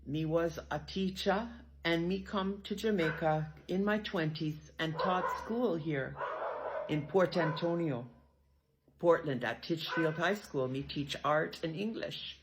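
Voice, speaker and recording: Older Canadian woman speaking patois, slow. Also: deep voice, slight background noise, low-quality recording. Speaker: vlogger. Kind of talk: casual address to camera. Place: rural Jamaica, outdoors.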